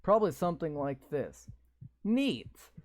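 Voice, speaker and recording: A man's voice: a little high, sing-song, unhurried, dry recording, studio quality. A man playing a visual novel, reading its text aloud and breaking in with sarcastic, swearing comments. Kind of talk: reading game text aloud with live reactions.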